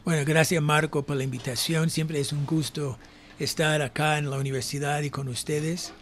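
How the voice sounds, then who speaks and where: An older man from the United States with an American accent, a native English speaker, giving reflective, halting answers as an academic guest. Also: a little high, level tone, a bit bright, slight background noise. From United States (New Jersey). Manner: hesitant, reflective, frequent pauses. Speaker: academic guest, university professor. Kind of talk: conversational interview answers.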